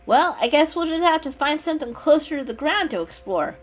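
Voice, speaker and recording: A woman's voice: high-pitched, expressive, brisk, pitched above the performer's own voice. A woman voicing a bold, mischievous young male kitten in a storybook reading, eager and playful. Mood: eager, scheming. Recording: music under the voice.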